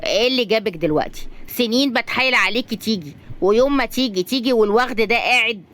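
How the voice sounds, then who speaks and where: A woman's voice: fairly high pitch, fast, a bit bright, lightly acted. A woman voicing a cold, hot-tempered businessman in an audio story, with a curt, impatient manner.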